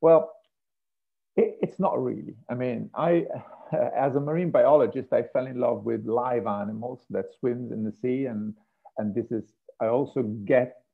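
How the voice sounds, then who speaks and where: A middle-aged man with an Italian accent lectures on marine life from home over a video call. His voice is husky with a gravelly edge, and he halts and restarts often. The sound is thin and phone-like. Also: medium pitch, measured pace. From Italy, Rome. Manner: hesitant, many fillers and restarts. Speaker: marine biology professor. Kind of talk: online lecture. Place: home room with a piano.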